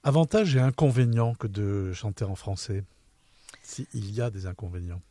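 Mellow-voiced male radio host. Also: lowish voice. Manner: measured questioning. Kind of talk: radio interview. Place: radio studio.

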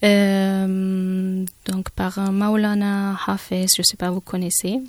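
A woman with an Afghan accent, her first language Persian, speaks as an interview guest in a measured way, often pausing for the right word. Her voice sits at a middle pitch, and the studio recording is dry with no room sound. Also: monotone, no echo. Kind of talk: radio interview.

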